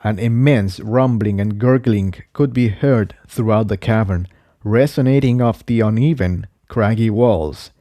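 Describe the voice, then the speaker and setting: A man's voice: on the low side, a little slow, dark tone, no room sound, studio quality. A man narrating an adventure story from a script. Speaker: fan fiction reader, narrator.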